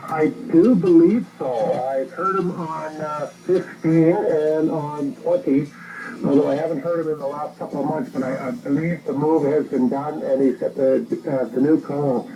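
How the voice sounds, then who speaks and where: Canadian male ham operator, relaxed and gravelly, rambling conversationally over a faintly noisy radio link. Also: a little high, normal speed, highs cut off. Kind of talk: casual ham radio contact. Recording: noisy radio transmission captured by phone.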